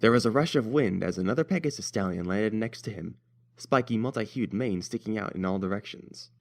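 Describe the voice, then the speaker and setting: A man's voice: lowish voice, fairly quick. A man narrating a scripted story as its reader.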